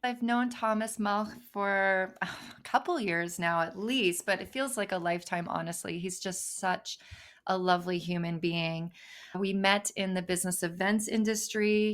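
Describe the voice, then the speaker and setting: Co-host: woman, mid-pitched, upbeat, introduces the guest warmly. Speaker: podcast co-host. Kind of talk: casual podcast chat.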